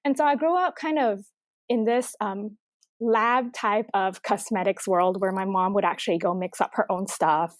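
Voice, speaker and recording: A woman from Taiwan, a company representative, talking casually in an interview and pausing often with filler words. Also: medium pitch, no echo, clean studio sound. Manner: halting, full of filler words.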